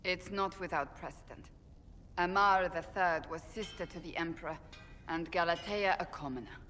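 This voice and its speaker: Woman playing a low-voiced stern warrior woman. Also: a bit bright, soft background music, close to an everyday voice. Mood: guarded, dry. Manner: formal, measured, deliberate phrasing. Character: stern, devout, principled warrior woman, earnest and blunt. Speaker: voice actress in a fantasy game. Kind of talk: dramatic video game dialogue.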